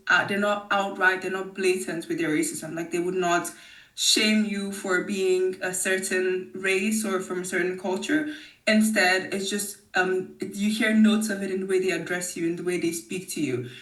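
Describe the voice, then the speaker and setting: A woman from Nigeria, a podcast guest talking in a lively, expressive way and laughing often. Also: mid-range voice. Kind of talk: casual conversation. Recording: roomy, distant mic.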